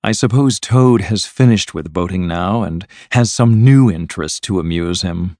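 A man voicing a sensible, loyal river rat from a storybook in a measured, serious manner. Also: low-pitched, darkish tone, dry recording. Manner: measured, serious, matter-of-fact. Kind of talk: storybook character voice.

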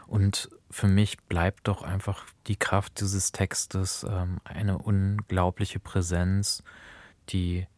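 Deep, even-voiced, reflective man. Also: dry recording. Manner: thoughtful, halting, searching for words. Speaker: guest commentator on a radio drama programme. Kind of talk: reflective discussion.

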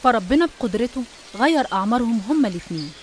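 A woman's voice: fairly high pitch, expressive, rapid-fire, slight background noise. A woman with an Egyptian Arabic accent telling religious stories in a conversational, scripted style.

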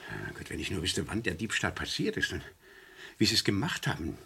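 An actor playing a gruff, testy older colonel with a husky, gravelly voice in a German radio crime play. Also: male voice, sits low, big pitch swings, a little fast, bright, forceful tone, slight background noise, full character voice. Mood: impatient, indignant. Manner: blustering, testy, muttering. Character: gruff, impatient retired colonel, indignant robbed art collector.

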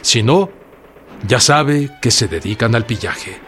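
An earnest Mexican president-elect of the revolution in a radio drama. He speaks slowly and formally, with emphatic, animated intonation, over light music. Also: medium pitch. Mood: formal, stern.

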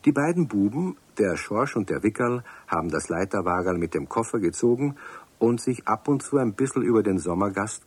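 A man's voice: fairly low pitch, slightly rough, dry recording. A man narrating a children's radio play, speaking directly to young listeners. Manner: warm storytelling, addresses young listeners. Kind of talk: scripted storybook narration.

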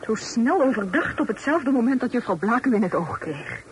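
A woman playing a proud, sensitive young lady in a period radio drama, formal and earnest, halting when upset. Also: fairly high pitch, sing-song. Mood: wounded, reproachful. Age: young adult. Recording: slightly noisy old radio recording.

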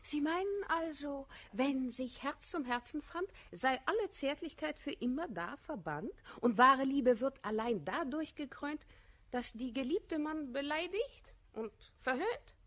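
A witty society lady in a classical radio comedy. Her voice is high and animated, moving freely up and down. She teases and mocks in theatrical verse. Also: unhurried. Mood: mocking. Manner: teasing, theatrical.

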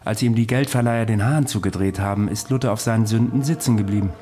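An actor playing a self-assured man recounting his past in a radio drama, matter-of-fact and measured. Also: lowish voice, dark tone, a little hiss or hum, close to an everyday voice. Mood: calm, matter-of-fact. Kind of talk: radio drama dialogue, storytelling.

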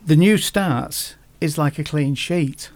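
A man speaking as a guest in a casual podcast conversation, halting often and restarting his sentences. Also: on the high side. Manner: halting, many restarts and fillers.

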